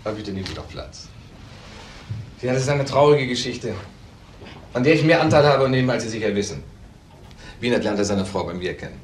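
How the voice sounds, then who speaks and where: An actor playing a suave, slippery ex-convict in a crime drama, talking with courteous polish. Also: male voice, medium pitch, a little fast, pauses often, close to an everyday voice. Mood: affable. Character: suave, slippery male ex-convict acting respectable. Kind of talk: German TV crime drama dialogue. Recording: echoey room, faint background noise.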